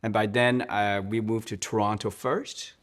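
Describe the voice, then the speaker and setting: A man's voice: on the low side, flat delivery. Earnest man, church music director. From Hong Kong. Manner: earnest, restarts and repeats words, slows on key points. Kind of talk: interview, personal storytelling.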